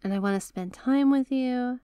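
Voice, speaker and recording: A woman's voice: a little high, warm tone, smooth, no room sound, natural voice. A woman playing a doting, bashful yet possessive young mafia heiress in an intimate ASMR roleplay, speaking to the listener in a hesitant, coaxing way. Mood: earnest, lovestruck. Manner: hesitant, coaxing, affectionate, halting phrasing.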